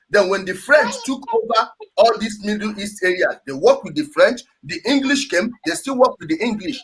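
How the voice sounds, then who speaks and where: Nigerian guy hosting a political talk show, high raspy voice, hammers his points. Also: rough recording. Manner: forceful, emphatic, repeats phrases. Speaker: host. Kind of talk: heated political discussion.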